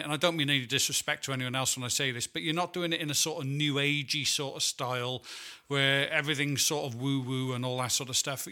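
A man's voice: medium pitch, sharp tone. A Welsh man co-hosting a podcast, chatty and jokey, with plenty of fillers and asides. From Wales. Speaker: podcast co-host. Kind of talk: casual podcast chat.

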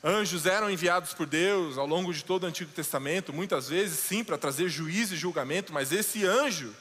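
Guy preaching in a church, high bright voice, long echo, goes slow n low on the big lines. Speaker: pastor. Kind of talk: sermon. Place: church sanctuary.

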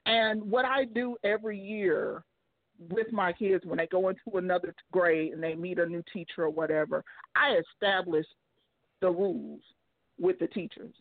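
A woman's voice: fairly low pitch, normal speed, dry recording. A woman in her thirties, a single mother, talking bluntly and laughing often in a casual call-in chat. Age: 30s. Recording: dry tinny phone line.

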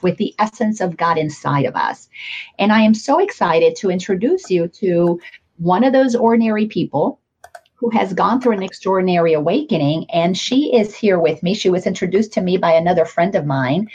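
A woman hosting a spiritual interview show over a video call, speaking slowly and earnestly with gentle enthusiasm. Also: mid-range voice, dull audio. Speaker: host.

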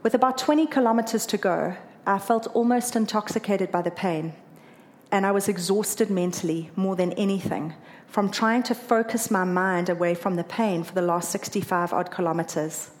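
A woman's voice: mid-range voice, normal speed. A woman from South Africa with a South African accent, earnestly telling a personal story about running a marathon. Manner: earnest, emotional, lively when quoting others.

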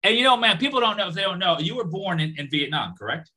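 A man's voice: high-pitched, animated, fast, bright, forceful tone. A man hosting an interview, eager and rambling, stumbling over his words as he asks questions. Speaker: podcast host. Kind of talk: casual interview chat.

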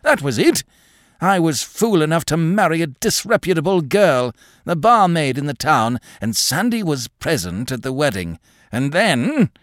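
A man voicing a wild, agitated old gentleman with a feeble mind and a sharp, strained, unnatural voice in a story. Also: fairly high pitch, a little slow, no room sound, studio quality, voice pushed up. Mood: confiding. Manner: excitable, abrupt, rambling.

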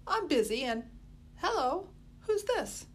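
A woman voicing a brisk, motherly woman with a loud, shrill voice. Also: expressive, slight background noise. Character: cheery working-class London woman, brisk and motherly.

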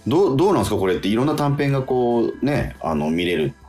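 A man's voice: medium pitch, very animated, fast talker, mellow, soft background music. A man hosting a radio talk show, chatting casually with his guest and co-host in a studio.

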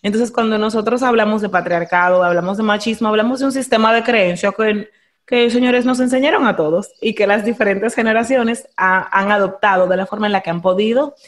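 A young Dominican woman with a Dominican Spanish accent, hosting a podcast in animated casual chat, slipping into English phrases. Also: medium pitch, a little fast. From Dominican Republic. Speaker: podcast host.

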